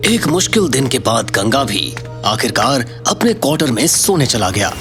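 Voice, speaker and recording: A man's voice: medium pitch, sing-song, moderate pace, over music. A man narrating an audio story in a scripted, dramatic style.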